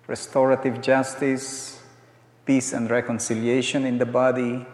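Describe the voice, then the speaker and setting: An older man gives a sermon in a slow, conversational way, dropping in humorous asides. His voice rings through a large church hall with a long, echoing tail. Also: medium pitch, a little mellow. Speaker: guest preacher. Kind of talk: sermon with storytelling. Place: church sanctuary.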